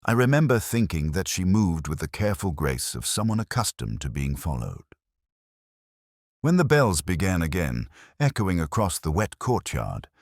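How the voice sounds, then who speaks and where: A man reading a scripted first-person detective story in a measured, brooding, literary manner. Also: deep voice, a little mellow, no echo, studio quality. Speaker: narrator.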